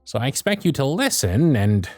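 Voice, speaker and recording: Casting: male actor as gruff, fond elf boss for ASMR roleplay, sardonic, very lively intonation, dry close sound, music behind. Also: mid-range voice, moderate pace, no room sound. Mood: stern, sardonic. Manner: bossy, teasing, sardonic.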